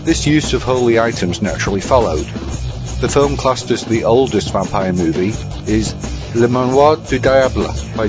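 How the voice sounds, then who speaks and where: Clear background music plays under a slightly dull recording of a man. His voice is mid to low, delivering dry, bookish scripted commentary as a podcast presenter. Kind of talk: scripted film and book commentary.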